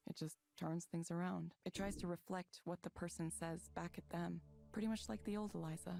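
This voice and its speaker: A woman's voice: on the low side, vocal fry, warm tone. An actress voicing a disheartened woman engineer in a visual novel game, earnest and weary with self-doubt. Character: disheartened, conscience-stricken woman engineer doubting her work. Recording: music behind the voice.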